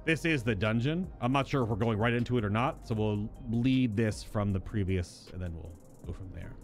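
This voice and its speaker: A man's voice: lowish voice, normal speed. A man streaming a video game, chatting and musing aloud as he gives live commentary on the story. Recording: music behind the voice. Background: video game music.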